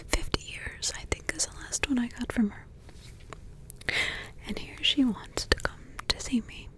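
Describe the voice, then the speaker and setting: A woman voicing an old forest witch, a grumbling yet doting mother and grandmother, in rambling, halting whispers full of fillers. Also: medium pitch, natural voice, low-quality recording. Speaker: ASMR roleplay creator. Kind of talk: ASMR roleplay whispering.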